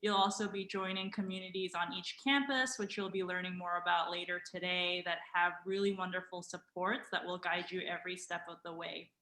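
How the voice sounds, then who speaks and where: A woman director speaks with enthusiasm and stresses her words. Her voice is low-pitched yet bright and forceful, and it rings in an echoey room over a video call. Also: fairly even intonation, fairly quick, few pauses. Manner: enthusiastic, emphatic. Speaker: program director. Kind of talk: online info session talk.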